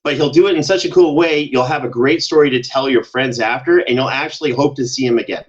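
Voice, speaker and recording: A man's voice: mid-range voice, fast. A man speaking casually as a podcast guest and producer in an interview. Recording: dull, lo-fi sound.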